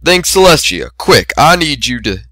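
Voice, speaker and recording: A man's voice: fairly high pitch, big pitch swings, normal speed, pushed and bright, no echo, studio quality, pitched above the performer's own voice, full character voice. Man playing a harried, exasperated stallion pony. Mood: pleading. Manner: pleading, flustered. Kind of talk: amateur dramatic reading of fan fiction.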